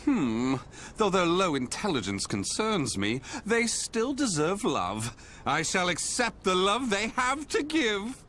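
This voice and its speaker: An actor playing a theatrical, sadistic video game villain who grandly professes love for humanity, with a flamboyant, drawn-out delivery. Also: male voice, high voice, wildly swooping pitch, pushed and bright, a little hiss or hum, exaggerated voice. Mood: mocking delight.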